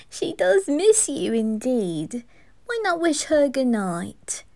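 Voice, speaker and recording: Female performer doing playful male undertaker, anime roleplay, eerie, polite, teasing, slow drawl. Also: high-pitched, sing-song, gravelly, warm tone, no room sound, voice pushed up, heavily acted. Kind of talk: anime fan audio roleplay.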